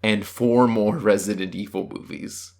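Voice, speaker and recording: A man's voice: fairly low pitch, fairly even intonation, a little slow, darkish tone. A man narrating a scripted video review in a casual, opinionated manner. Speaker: film reviewer.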